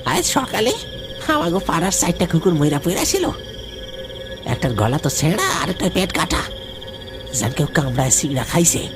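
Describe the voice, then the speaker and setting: Anxious villager for horror cartoon, played by a man: slow, grave, very animated pitch, music underneath. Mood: alarmed. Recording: music behind the voice.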